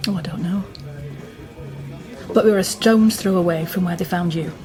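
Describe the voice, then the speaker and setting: An actress playing a hesitant woman confiding a family secret in a radio drama, in a halting, naturalistic way. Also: fairly low pitch, over music, close to an everyday voice.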